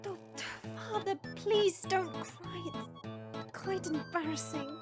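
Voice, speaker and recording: A woman's voice: very high voice, slow pace, music in the background. A woman voicing a small young dragon princess, prim, polite and easily embarrassed, in an audio drama. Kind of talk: audio roleplay drama acting.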